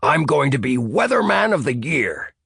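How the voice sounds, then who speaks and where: A man's voice: fairly high pitch, big pitch swings, moderate pace, vocal fry, fairly bright tone, husky, dry recording, studio quality, voice pushed up, full character voice. A man doing a pompous villainous weatherman. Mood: relishing. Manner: relishing, pompous.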